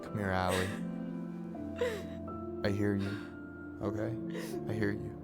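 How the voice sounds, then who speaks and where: An actor playing a calm, supportive young American man in a video game, talking gently and reassuringly. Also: on the low side, slow pace, loud music behind the voice. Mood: gentle, concerned. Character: calm, supportive young male best friend. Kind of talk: naturalistic video game acting.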